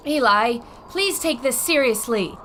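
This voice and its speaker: A woman's voice: fairly high pitch, expressive, a little slow, sharp tone, a little hiss or hum. An actress playing a serious, determined woman reporter, dramatic and earnest, in a retro adventure radio drama. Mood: urgent.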